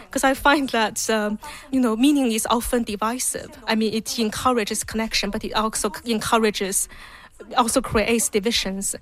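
A woman from Beijing, China, speaking thoughtfully and reflectively as an event attendee. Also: on the high side. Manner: thoughtful, reflective, with some fillers. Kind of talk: vox pop interview.

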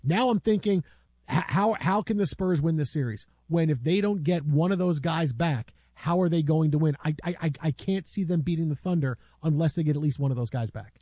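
A man's voice: on the high side, fairly quick, dark tone, dry recording. A man hosting a casual basketball talk show, giving emphatic analysis full of rhetorical questions. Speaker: sports show host.

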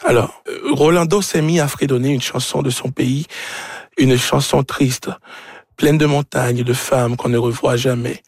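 A man from Haiti with a Haitian accent and Creole as his first language, a novelist speaking haltingly as he searches for words. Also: mid-range voice, fairly even intonation, a little mellow, slightly rough, dry recording, clean studio sound. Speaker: novelist being interviewed.

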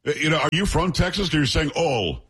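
A man from New York who grew up in Florida, hosting a talk radio show and pressing his guest with animated, pushy questions. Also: fairly high pitch, brisk, husky. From New York, raised in Florida.

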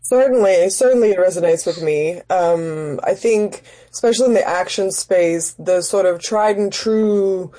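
Mellow, low-pitched woman, thoughtful. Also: unhurried, hardly any pauses. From Denmark. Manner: thoughtful, searching for words. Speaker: interview guest, actress and writer. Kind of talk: reflective conversational interview.

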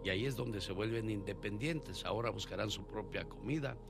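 A man's voice: on the low side, a little fast, gravelly, over music. A man hosting a radio call-in show, chatting and telling stories to his callers. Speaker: radio host. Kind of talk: radio call-in chat with storytelling.

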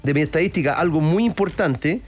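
Chilean man, sports statistician, somewhat high voice, casual informative radio delivery. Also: a little fast, a little mellow, faint music behind.

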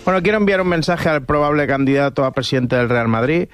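Man from Catalonia, radio comedian, higher pitch, comic banter, animated stumbling storytelling. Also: fast talker, crowd noise. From Catalonia, Spain.

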